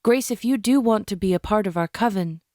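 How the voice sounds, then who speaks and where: A woman playing a formal, protective witch who leads a coven in an audio drama, speaking with measured authority. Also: medium pitch, dark tone, dry recording, clean studio sound, close to an everyday voice. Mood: solemn instruction. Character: stern, formal female coven leader, protective and wise.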